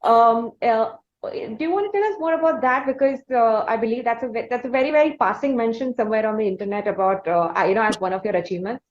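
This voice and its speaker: A woman's voice: fairly high pitch, quick pace, reverberant, lo-fi sound. A woman hosting an interview, asking questions in a hesitant way with many uhs and restarts. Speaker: interview host.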